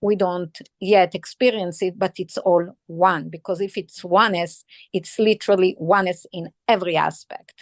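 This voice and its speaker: A middle-aged woman with an Israeli accent, speaking earnestly and haltingly as a participant on a video call. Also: lowish voice, fairly even intonation, no echo, studio quality.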